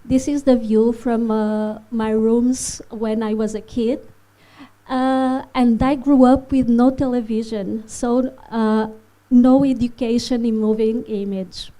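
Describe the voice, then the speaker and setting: Slow, hesitant woman from Portugal. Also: on the high side, fairly even intonation, mellow, poor audio. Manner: hesitant, many fillers, non-native phrasing. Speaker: animation filmmaker giving a masterclass. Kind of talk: presentation to a live audience. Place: festival hall.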